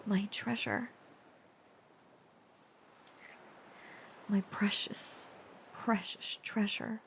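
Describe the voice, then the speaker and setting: A woman playing a shy, protective dragon-descended lover in an intimate romantic audio roleplay, speaking haltingly and earnestly, often dropping toward a breathy whisper. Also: medium pitch, steady tone. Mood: adoring, tender. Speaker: voice actor.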